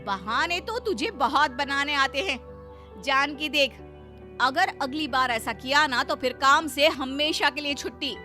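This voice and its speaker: A woman voicing a stern, demanding mistress of the house in an animated moral story, in a sharp, bossy manner. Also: high voice, measured pace, faint music behind, pitched above the performer's own voice. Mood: stern, annoyed.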